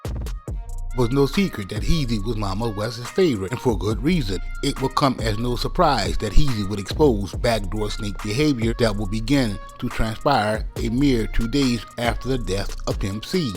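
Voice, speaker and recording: A man's voice: fairly low pitch, moderate pace. A man reading scripted documentary narration in a dramatic storytelling manner. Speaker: narrator of a hip-hop news channel. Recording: music bed under voice. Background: background music.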